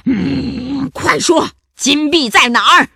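A woman's voice: mid-range voice, sing-song, takes it slowly, bright, forceful tone, no room sound, clean studio sound, heavily acted. A woman playing a bossy, mocking male pirate captain in a children's story, speaking in a commanding, emphatic way. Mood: angry.

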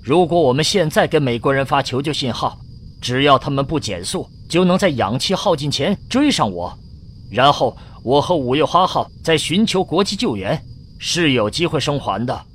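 A man's voice: a little high, animated, moderate pace, a little hiss or hum, natural voice. A man playing a resolute, commanding middle-aged spaceship captain in a science fiction radio drama. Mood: firm, resolved. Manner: firm, commanding. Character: resolute, self-sacrificing male spaceship captain around forty.

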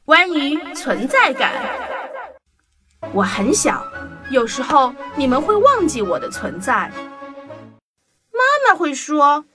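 A woman telling a children's story in a playful, animated first-person voice. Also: high-pitched, slow pace, long pauses. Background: background music.

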